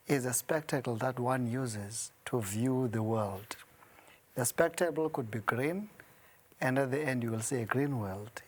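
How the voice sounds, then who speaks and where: Male academic guest, calm, explanatory. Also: mid-range voice. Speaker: theology professor, guest.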